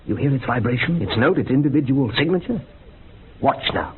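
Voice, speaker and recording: A man's voice: mid-range voice, animated, brisk, close to an everyday voice. Actor as intense male occult adept, swooping delivery. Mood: intense, portentous. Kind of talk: radio horror drama acting. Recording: tinny phone-like radio recording, faint noise.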